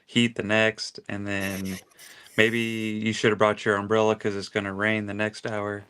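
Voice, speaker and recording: Low, flat, smooth man from Kansas. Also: moderate pace. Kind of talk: casual chat.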